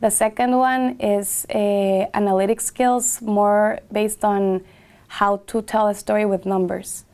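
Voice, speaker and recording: A woman's voice: mid-range voice, little pitch movement, takes it slowly, darkish tone, smooth. A young woman from Guatemala, a graduate student intern, describing her internship in an interview with frequent hesitations and fillers. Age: young adult. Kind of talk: interview testimonial.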